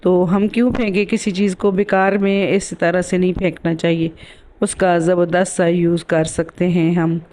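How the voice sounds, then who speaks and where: A woman's voice: lowish voice, level tone, normal speed, warm tone, a little hiss or hum. Woman narrating with a sore throat. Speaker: craft video host. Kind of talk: casual DIY tutorial voice-over.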